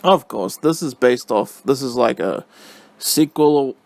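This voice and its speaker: A man giving a casual, rambling spoken review, halting and slangy, with a silly, giggly manner. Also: medium pitch, expressive, gravelly, darkish tone. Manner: silly, giggly, easily cracks up. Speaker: vlogger giving film and game reviews.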